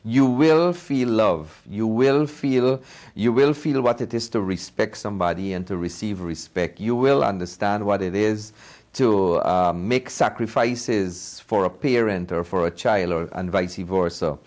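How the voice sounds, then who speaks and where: A man's voice: fairly low pitch, measured pace, a little mellow. A man being interviewed as a filmmaker, answering earnestly and conversationally, repeating phrases for emphasis. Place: TV studio.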